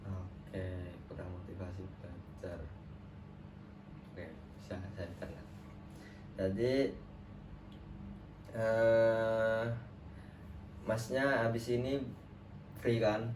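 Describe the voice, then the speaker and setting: A young man plays a trainee counselor in a role-play session, talking in a casual, friendly way full of fillers. His voice sits a little low. The room is roomy, the mic is distant, and a clear background noise runs underneath. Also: steady tone, extremely slow, long pauses. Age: young adult.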